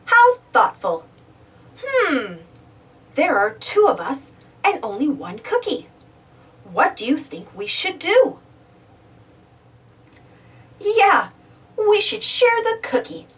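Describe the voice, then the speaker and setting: A woman plays a cheerful young preschool host from a storybook, talking straight to small children in a sing-song way. The voice is high and swoops widely, with a slow, careful pace. It sounds a little away from the microphone. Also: wildly swooping pitch, distant mic, exaggerated voice. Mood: curious, encouraging. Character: Joe, cheerful young man hosting a preschool show. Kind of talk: children's storybook read-aloud.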